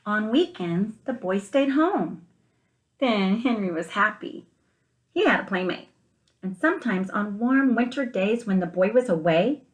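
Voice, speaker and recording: Slow-paced woman reading a storybook to children. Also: medium pitch. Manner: expressive, gentle questioning asides to children. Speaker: teacher reading aloud to young children. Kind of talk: storybook read-aloud with asides to listeners.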